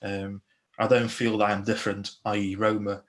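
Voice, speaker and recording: Earnest low-voiced man on a video call. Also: level tone, normal speed. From Slovakia. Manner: earnest, many restarts and fillers. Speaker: interviewee, police constable.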